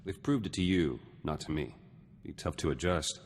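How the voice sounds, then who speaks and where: An actor playing a gruff, distrustful war veteran in a video game, speaking in terse, clipped fragments. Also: male voice, extremely low pitch, normal speed, in a large hall, heavily acted. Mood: guarded, terse.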